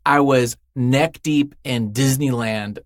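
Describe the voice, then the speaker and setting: Guy being interviewed, mid pitch, rambly casual storytelling, acts out little quotes, very dry sound. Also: slow, no room sound. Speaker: writer, interview guest. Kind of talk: casual chat.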